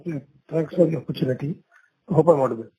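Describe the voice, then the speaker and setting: Male analyst caller, somewhat high pitch, mellow husky tone, posing a question. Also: quick pace, lo-fi sound. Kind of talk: question on earnings call.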